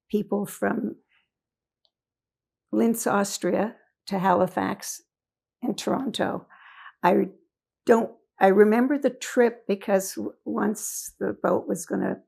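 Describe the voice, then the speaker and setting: An elderly woman in her eighties, originally from Hungary, recalls her life in a recorded interview. Her voice is heavily gravelly and she speaks very slowly, pausing often and filling gaps with hesitations. Her pitch sits in a middle range for a woman. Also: darkish tone. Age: 80s. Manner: slow and halting, many pauses and fillers. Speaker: interviewee giving testimony. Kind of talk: recorded oral history interview. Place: indoors in Toronto.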